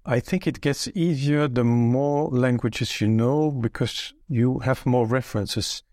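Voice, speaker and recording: Older belgian guy, dutch first language, dark mellow tone, dry close sound, thinks as he talks. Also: medium pitch, measured pace, no room sound. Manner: thoughtful, with frequent restarts while recalling details. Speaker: interview guest. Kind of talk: relaxed conversational interview.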